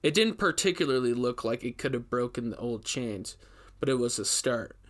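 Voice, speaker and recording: A man playing an investigator who recounts a frightening ordeal in measured, emphatic, scripted first-person narration. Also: medium pitch, lively intonation, lightly acted. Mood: grim, suspenseful. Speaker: narrator reading a story.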